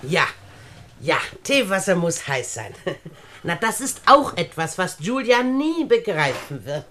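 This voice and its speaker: An actress playing a wealthy, condescending older aunt in a German radio play, speaking standard German in a deliberate, haughty manner. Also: female voice, lowish voice, sing-song, a bit bright. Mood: smug. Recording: studio radio drama recording.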